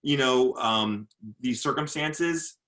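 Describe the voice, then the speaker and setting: An earnest man speaking as a motivational speaker, his voice bright and forceful at an average pitch. He often repeats words while he finds his thoughts. On points of faith he slows down and drops lower. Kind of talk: casual interview talk.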